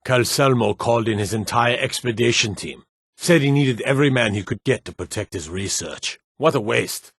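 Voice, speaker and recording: A man's voice: mid-range voice, normal speed, fairly bright tone, slightly rough, no room sound. Stern fantasy city guardsman, dry sound. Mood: weary, grumbling. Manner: authoritative, curt, clipped commands. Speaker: game voice actor playing guards and soldiers. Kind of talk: fantasy video game character dialogue.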